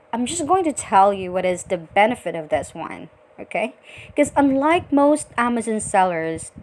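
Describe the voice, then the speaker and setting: A woman from the Philippines with a Filipino accent, speaking politely and deliberately as a virtual assistant on a scripted sales call. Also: on the high side, darkish tone. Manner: polite, deliberate, frequent acknowledging murmurs.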